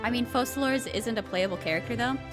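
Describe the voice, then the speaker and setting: A woman's voice: a little high, quick pace. A woman giving casual, chatty live commentary as a game streamer, with a giggly manner. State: sick. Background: game music and dialogue.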